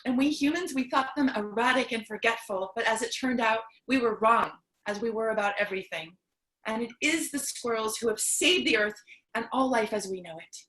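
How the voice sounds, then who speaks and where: A woman's voice: a little high, fairly quick, a bit bright, lightly acted, low-quality recording. A woman playing a tender mother, the last woman on earth, in a staged reading. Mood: tender, nostalgic. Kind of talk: staged reading, storybook narration.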